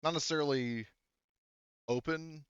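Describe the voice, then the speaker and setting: A man's voice: on the high side, moderate pace, fairly bright tone, smooth, no room sound, dull audio. A man casually moderating a tabletop game as game master, hesitant and thinking aloud as he goes.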